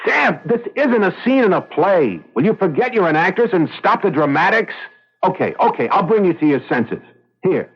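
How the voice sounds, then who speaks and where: An actor with an American accent playing a self-serving young agency gopher in a radio drama, slangy and hard-boiled, with a husky voice. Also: male voice, sits high, big pitch swings, normal speed, heavily acted. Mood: scornful, exasperated. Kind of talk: 1970s radio drama acting. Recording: dull vintage radio recording.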